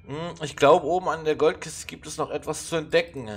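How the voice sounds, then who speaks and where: A man with a stuffy nose from a cold, musing haltingly through a puzzle game with plenty of fillers. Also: on the high side, steady tone, a bit bright, slightly rough, soft background music. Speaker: let's play gamer. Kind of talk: casual puzzle game commentary.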